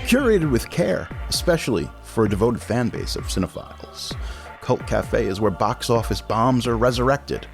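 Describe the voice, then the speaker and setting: A man reading scripted promotional spots as a podcast host. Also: mid-range voice.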